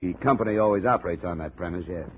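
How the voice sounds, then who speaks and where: A blunt, hard-boiled American investigator from radio noir, played by a man. His voice is low, and he talks in a terse, dry, matter-of-fact way. The recording is a narrow, noisy 1950s broadcast. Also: quick pace, lightly acted. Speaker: lead actor of a radio detective series.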